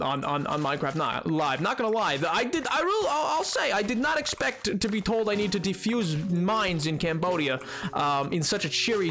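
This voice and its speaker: Animated, high-voiced man chatting on stream. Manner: rambling, restarts and laughs while reading chat. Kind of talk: casual gaming stream chat.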